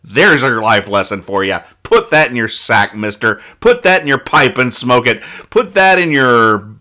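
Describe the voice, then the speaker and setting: A man's voice: mid-range voice, sing-song, normal speed, pushed and bright. A man hosting a casual comedy podcast, reviewing comic strips with wry mock exasperation.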